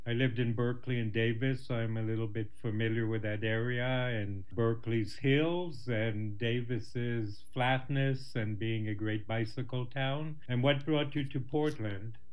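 A man hosting a radio show, introducing segments and guests in a measured, clear way. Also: mid-range voice, fairly even intonation.

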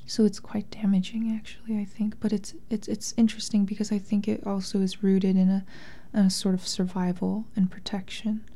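A woman author speaking softly and breathily in a thoughtful, measured, literary way. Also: medium pitch, flat delivery. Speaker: interviewed author and translator. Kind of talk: reflective literary interview talk and reading aloud from a memoir.

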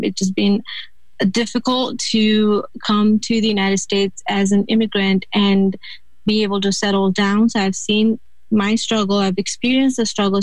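Flat-voiced young woman from Nepal. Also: medium pitch, dry recording, rough recording. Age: young adult. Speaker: student guest. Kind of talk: personal introduction on a call-in.

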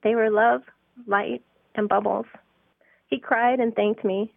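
A woman's voice: fairly high pitch, steady tone, slow pace, vocal fry, no room sound. A woman reading her own heartfelt personal story from a script, on a slightly lo-fi recording.

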